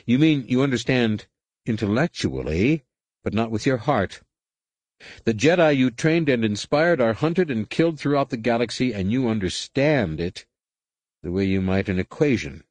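A man's voice: medium pitch, lively intonation, normal speed, no room sound, voice pushed up, close to an everyday voice. A man reading aloud, voicing an angry, defiant middle-aged male warrior-monk who argues in a heated, rising way. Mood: impassioned.

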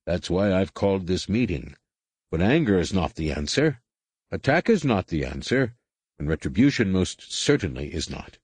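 A man reading aloud plays a calm older male master who answers gently and evenly. His voice sits low and soft. The recording is dry and close. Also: slightly rough, dry recording, heavily acted. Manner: calm, measured, mild.

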